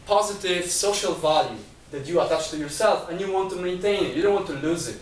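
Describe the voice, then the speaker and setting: A man's voice: high-pitched, a little fast, slight background noise. Man lecturing in an echoey room. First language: not Chinese. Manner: numbered points, frequent restarts and repeated words. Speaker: guest speaker. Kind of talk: lecture-style talk. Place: talk venue, indoors. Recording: echoey room, mic at a distance.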